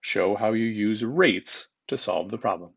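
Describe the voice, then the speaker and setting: Gravelly male teacher reading test questions aloud. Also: lowish voice, unhurried, dull audio. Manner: informal, instructive, repeats each question.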